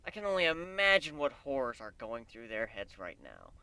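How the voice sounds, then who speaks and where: A man's voice: medium pitch, very animated, normal speed, bright, forceful tone, clear, resonant tone, no room sound. A man giving a dramatic first-person reading of a story as its narrator.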